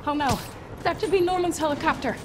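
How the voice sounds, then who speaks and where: A woman's voice: high voice, fast, very noisy, natural voice. A young woman playing an earnest, quippy, determined superhero in a video game. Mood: concerned, resolute. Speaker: voice actor. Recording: music behind the voice.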